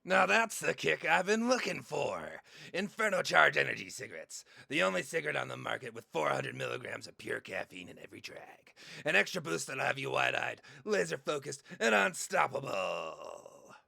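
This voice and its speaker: Slick male radio huckster, acted by a man, heavily gravelled and bright, punchy theatrical sell. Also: a little high, very animated, moderate pace, no echo, clean studio sound, exaggerated voice. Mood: hard sell. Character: slick, hard-selling male radio pitchman and talk host. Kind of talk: radio ad and pundit read.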